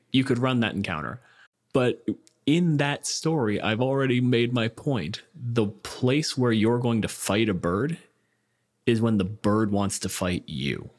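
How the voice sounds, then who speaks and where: A man's voice: mid-range voice, breathy edge. A man talking casually on a tabletop gaming panel, explaining and arguing his points in an informal way. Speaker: podcast panelist.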